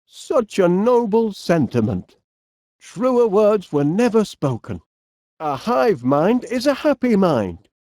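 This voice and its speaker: A man's voice: sits high, big pitch swings, slow, dark tone, no echo, clean studio sound, heavily acted. A man playing a cheerful middle-aged eccentric inventor in a cartoon video game, with a Northern English accent and a quaintly polite, dithering manner.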